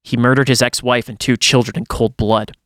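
Man, medium pitch, dramatic horror story narration, podcast reader, dry recording with little room sound. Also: steady tone, a little fast, fairly bright tone, dry recording. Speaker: podcast host reading stories aloud. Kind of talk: dramatic first-person horror story reading.

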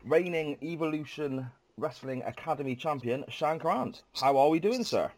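A man's voice: fairly high pitch, expressive, normal speed, no room sound. A man hosting a podcast interview in a chatty, polite way with frequent ums, sounding congested from a cold. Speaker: podcast host. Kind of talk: casual interview chat.

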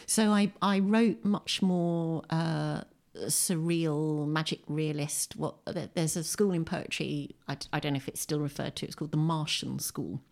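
A woman's voice: sits low, steady tone, measured pace, vocal fry, a little mellow. A middle-aged British woman talking conversationally as an interview guest, reflective and wry, with self-deprecating humour. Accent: British English. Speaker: poet and short story writer, podcast guest. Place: at home, indoors.

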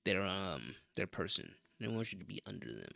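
Bright-voiced, blunt opinionated man. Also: deep voice, very animated, normal speed, gravelly, dry recording, studio quality. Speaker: podcast co-host. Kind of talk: casual chat.

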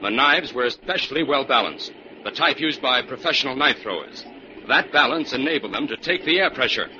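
An actor playing a confident, shrewd crime-fighting investigator in an old-time radio drama, explaining things in a crisp, declarative way. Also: male voice, mid-range voice, expressive, fairly quick, sharp tone. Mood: commanding, assured. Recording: dull, noisy old radio recording.

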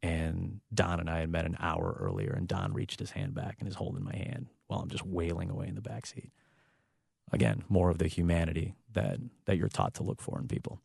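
A man's voice: sits low, expressive, fairly quick, vocal fry, a little mellow, breathy edge, dry recording, clean studio sound. A man in his thirties, a sports broadcaster, telling a personal family story in a reflective, heartfelt way with frequent restarts. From United States. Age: 30s.

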